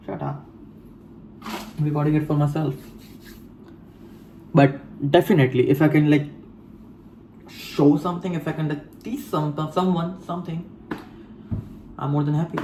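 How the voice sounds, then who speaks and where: Slow, mellow-voiced teenage guy. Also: on the high side, steady tone, a little hiss or hum, low-quality recording. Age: late teens. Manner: mixes Hindi and English, repeats phrases, calls listener bro. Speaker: vlogger. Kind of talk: casual reflective monologue. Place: home room.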